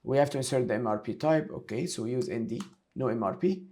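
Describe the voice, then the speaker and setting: A man giving a step-by-step software tutorial, talking through each action aloud as an instructor. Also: mid-range voice, quick pace. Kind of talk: screen-share tutorial, explaining step by step.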